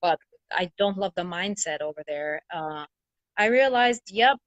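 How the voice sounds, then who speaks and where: A woman's voice: fairly low pitch, expressive, measured pace, dry recording, poor audio. A woman from Bulgaria, telling her story as an interview guest in a candid, sardonic way, swearing freely. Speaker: interview guest, brand strategist.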